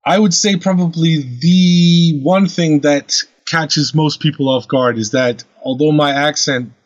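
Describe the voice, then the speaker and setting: A man's voice: fairly high pitch, fairly even intonation, a little slow, no echo, muffled recording. A man from Norway, a guest on a car podcast, chatting in a playful, self-deprecating way. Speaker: guest, car care brand director. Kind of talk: casual interview chat.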